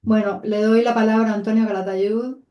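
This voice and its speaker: A woman's voice: mid-range voice, fairly even intonation, fairly quick, muffled recording. A woman moderating a panel informally as its host, handing the floor to guests. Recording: roomy, echoing sound.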